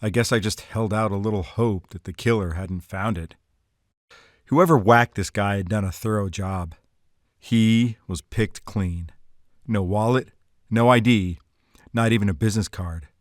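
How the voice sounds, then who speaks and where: A man acting as a wisecracking, excitable hardboiled detective type in a noir audio drama, with slangy tough-guy talk. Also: fairly low pitch, measured pace, dry recording, studio quality, lightly acted. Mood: wry, deadpan. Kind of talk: hardboiled noir radio-play narration and dialogue.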